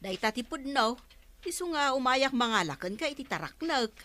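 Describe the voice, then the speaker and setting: An actress playing a suspicious, scolding village councilor in a radio comedy drama. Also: female voice, high-pitched, animated, normal speed, bright, forceful tone. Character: sharp-eyed woman councilor keeping fish.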